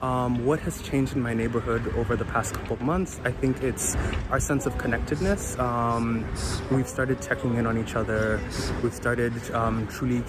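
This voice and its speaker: A man giving a casual video message, relaxed and hesitant with frequent pauses. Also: mid-range voice, heavy background noise. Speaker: event participant.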